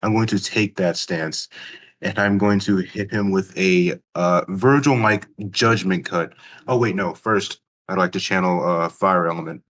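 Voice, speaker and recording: Deep voiced guy playing tabletop rpg, casual, kinda halting, thinking out loud. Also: steady tone. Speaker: tabletop game player. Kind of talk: casual game-table chat.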